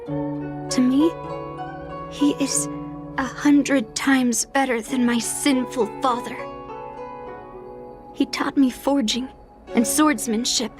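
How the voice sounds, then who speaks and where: A woman voicing a stubborn, resolute young swordswoman in a video game, speaking in an earnest, deliberate way. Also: high voice, expressive, over music. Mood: grave, resolute. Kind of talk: dramatic video game voice acting.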